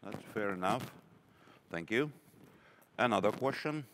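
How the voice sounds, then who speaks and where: A man moderating a conference Q&A, reading out audience questions in a halting way with many fillers. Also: fairly low pitch, animated, a bit bright, a little hiss or hum. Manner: hesitant, halting, full of fillers.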